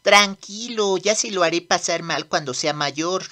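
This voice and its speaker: Woman as cocky boy demon prince, manga dub, bright forceful tone, slow delivery, commanding. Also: fairly low pitch. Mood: cool, cocky. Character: cocky young demon prince, small boyish monster. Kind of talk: Spanish manga dub acting.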